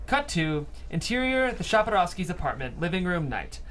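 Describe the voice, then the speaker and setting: A man's voice: high voice, very animated, moderate pace, fairly bright tone, slight background noise. A man reading stage directions as narrator at a script table read.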